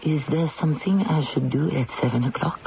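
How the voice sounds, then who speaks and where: A woman's voice: sits low, brisk, gravelly, a little hiss or hum. An actress playing a proud, weary, sorrowful condemned French queen in a theatrical old-time radio drama style. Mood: resigned, gentle. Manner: theatrical, imperious, emotional. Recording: dull lo-fi radio, music behind.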